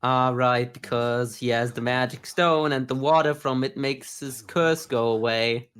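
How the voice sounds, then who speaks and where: A man's voice: mid-range voice, fairly even intonation, measured pace, a bit bright, clear, resonant tone. A man giving casual, sarcastic, joking reaction commentary on an anime as a podcast co-host.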